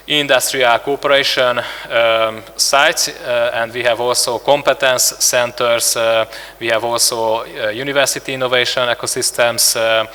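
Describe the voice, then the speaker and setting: Hungarian-accented male conference presenter, hesitant and full of fillers, bright voice, long hall echo. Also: mid-range voice. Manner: halting, many filler sounds and restarts. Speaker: conference speaker.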